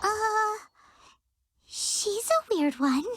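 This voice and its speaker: An actress voicing a tiny, sassy, easily flustered fairy companion in a video game, with a squeaky childlike voice. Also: female voice, expressive, extremely slow, noisy background, heavily acted. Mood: indignant, flustered. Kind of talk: scripted video game character acting.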